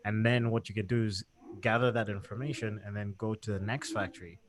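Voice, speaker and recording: Host, male, lowish voice, loose chatty delivery, crowd murmur behind. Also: brisk. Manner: relaxed, chatty, many fillers and restarts. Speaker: interview host. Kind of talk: casual livestream interview.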